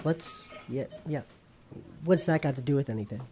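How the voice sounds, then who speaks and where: A man plays a sulky young slacker horseman of the apocalypse in an audio comedy. His voice is soft-edged and mellow, and he rambles in halting, slangy fragments. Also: medium pitch, a little hiss or hum, natural voice. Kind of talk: comedic audio drama dialogue.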